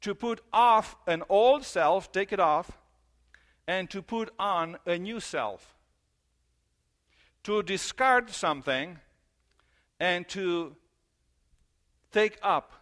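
Guy preaching a sermon, english not his first language, repeats stuff for emphasis, voice a bit high. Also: a bit bright. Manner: earnest, repeats key phrases, slows for emphasis. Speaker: pastor.

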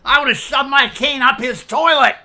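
A cranky, crude old war veteran. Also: male voice, very high voice, normal speed, sharp tone, pitched above the performer's own voice, heavily acted. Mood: ranting. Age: older. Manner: gruff, crude, ranting. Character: cantankerous foul-mouthed old male war veteran. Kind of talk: audiobook character voice.

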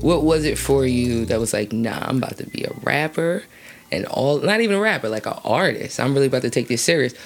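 A woman's voice: a bass voice, expressive, fairly quick, faint music behind. A woman hosting a casual interview, chatty and slangy, asking long, rambling questions and laughing easily. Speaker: interview host.

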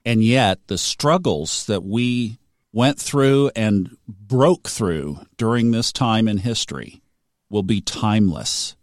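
A man's voice: fairly low pitch, expressive, slow pace, dry recording, studio quality. A man hosting a podcast, talking in an earnest, reflective and upbeat way. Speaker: podcast host. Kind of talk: casual podcast chat.

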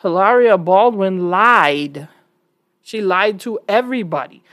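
A woman's voice: medium pitch, unhurried, fairly bright tone, no room sound, studio quality. A woman from New York with an American accent, giving casual opinion commentary in an emphatic, conversational, questioning way. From New York, United States. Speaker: online commentator.

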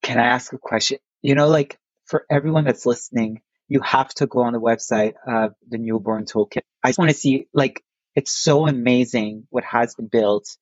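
A man's voice: mid-range voice, normal speed, no echo. Enthusiastic man on a dull line. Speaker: podcast co-host.

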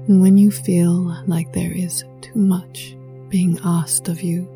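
A woman leading a guided meditation in a soft, breathy voice that often sinks near a whisper, hushed, soothing and unhurried, with poetic scripted phrasing. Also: lowish voice, little pitch movement. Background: music.

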